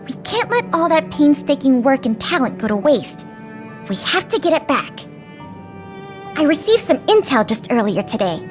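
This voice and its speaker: A woman voicing an earnest, dutiful young female officer in an anime-style video game, speaking articulately and in a businesslike, polite way. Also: high voice.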